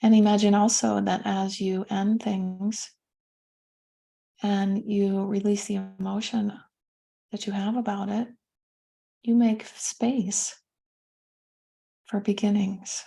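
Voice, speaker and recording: A woman leading a guided meditation as a teacher, speaking gently and soothingly with pauses between phrases. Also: mid-range voice, level tone, vocal fry.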